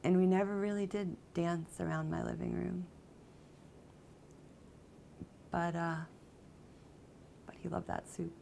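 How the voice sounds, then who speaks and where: A woman playing a jilted, obsessive character who confesses in a halting, conversational dramatic monologue, with volatile emotion. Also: on the low side, little pitch movement, gravelly, dark tone, a little hiss or hum. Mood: wistful, subdued. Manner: halting, emotionally volatile, mimics others' exclamations. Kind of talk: dramatic monologue, conversational first-person storytelling.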